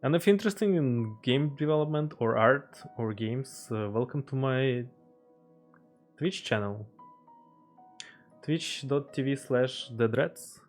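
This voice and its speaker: A man whose first language is not English talks casually through his indie game, stopping and restarting often and filling the gaps with ums and uhs. His pitch sits in an ordinary middle range, and faint music plays behind him. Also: lively intonation. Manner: halting, many fillers and restarts. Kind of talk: casual devlog voice-over over gameplay.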